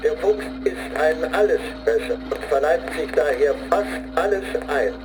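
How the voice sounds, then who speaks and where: An actor playing a grim zealot who recites a training manual deliberately, like an incantation, in a radio play. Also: male voice, a little high, animated, low-quality recording. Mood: solemn, ominous. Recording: studio radio play with music underneath. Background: music.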